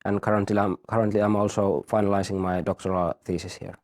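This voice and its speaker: A man in his thirties, a university teacher, explaining a study program in a halting way, often repeating words. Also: deep voice, level tone, darkish tone. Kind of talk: explaining in an interview.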